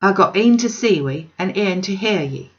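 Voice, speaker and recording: A woman's voice: mid-range voice, animated, normal speed, highs cut off, close to an everyday voice. A woman voicing an earnest, distressed working-class male weaver who speaks in broad dialect, in a storybook reading. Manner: earnest, broad Northern English dialect speech.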